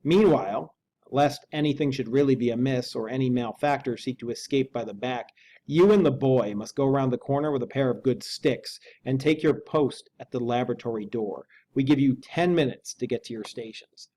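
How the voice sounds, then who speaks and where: A man voicing a grave, formal and resolute Victorian gentleman lawyer in a measured reading of a classic novel. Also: medium pitch, natural voice. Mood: commanding.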